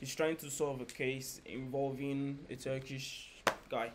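A man's voice: mid-range voice, flat delivery, a little slow, heavy background noise. A young man hosting a reaction video from a couch indoors, upbeat and laughing often. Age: young adult. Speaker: vlog host. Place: indoor room, seated on a couch.

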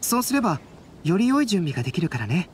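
Calm, analytical young artist. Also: male voice, high-pitched, fast talker, background noise. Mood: calm, gentle. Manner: composed, explanatory, reasoned. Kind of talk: Japanese game cutscene acting.

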